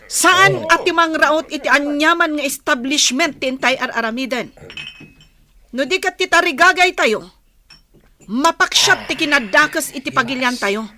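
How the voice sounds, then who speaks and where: A woman's voice: high-pitched, normal speed, sharp tone, slight background noise. A woman acting a resolute leader of a rebel group in an Ilocano audio drama, declamatory and commanding. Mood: rallying, resolute.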